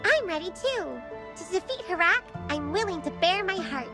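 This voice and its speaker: An earnest young game mage, with a high voice and lively intonation. The character sounds brave and sincere, with music clearly underneath. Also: female voice, moderate pace. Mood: resolute. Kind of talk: scripted game voice acting.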